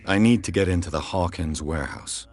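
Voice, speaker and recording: A man playing a deep-voiced sardonic detective. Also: fairly quick, darkish tone, natural voice. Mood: wry. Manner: dry, sardonic, blunt. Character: dry, sardonic male detective.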